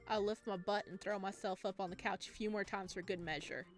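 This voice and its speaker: A woman narrating a visual novel in a playful let's play, chatty and giggly, with expressive reactions. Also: mid-range voice, a little fast. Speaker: gaming video host reading a visual novel aloud. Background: game music.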